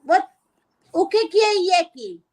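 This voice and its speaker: A woman's voice: very high voice, animated, slow pace. A woman student in an informal lesson, answering hesitantly and thinking aloud.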